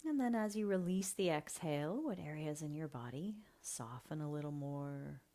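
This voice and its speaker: A woman leading a guided breathing meditation, speaking softly and slowly, often half-whispering. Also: low voice. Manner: slow, soft and soothing, often half-whispered.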